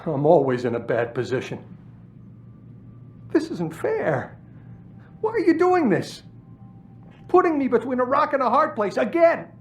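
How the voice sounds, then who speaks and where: Animated, bitter desk sergeant. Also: male voice, very high-pitched, measured pace, long pauses, slight background noise, full character voice. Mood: bitter, agitated. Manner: aggrieved, defensive, emotional. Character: bitter, self-pitying, demoted male police desk sergeant. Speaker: video game character. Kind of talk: cinematic game dialogue.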